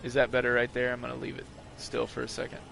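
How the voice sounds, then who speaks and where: A man with a hoarse voice from a recent illness, chatting casually while streaming a game and explaining it to a viewer. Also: medium pitch, monotone, fast, fairly bright tone, soft background music. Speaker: gaming streamer. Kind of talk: casual live gameplay commentary.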